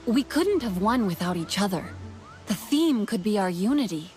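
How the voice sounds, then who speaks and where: An earnest young heroine from an alien clan a woman acting, with a mid-pitched voice. She is friendly and sincere in game dialogue, with faint music behind her. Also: expressive, moderate pace, darkish tone, natural voice. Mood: helpful.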